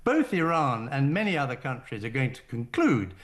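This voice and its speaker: A man guest on a political talk show, arguing in a deliberate, halting way with many restarts. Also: medium pitch, sing-song, a bit bright. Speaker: caller guest. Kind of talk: political argument on air.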